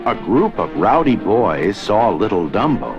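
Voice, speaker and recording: A man narrating a children's read-along storybook in an expressive, deliberate storytelling manner. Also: lowish voice, highs cut off. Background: music.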